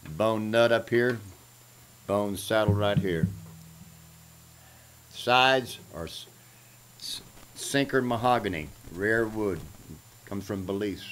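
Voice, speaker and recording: A man, talking casually and unhurriedly in short folksy fragments as he pitches something for auction. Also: takes it slowly, long pauses, a bit bright, slight background noise, poor audio. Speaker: musician presenting a guitar for a charity auction. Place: small indoor room at home. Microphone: microphone on a stand at guitar height. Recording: acoustic guitar playing around the voice. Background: acoustic guitar.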